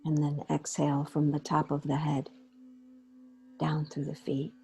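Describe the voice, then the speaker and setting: A woman leading a guided meditation in a gentle, unhurried way, pausing between short phrases. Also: low voice, completely flat delivery, vocal fry, faint music behind. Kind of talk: guided meditation, slow soothing instruction.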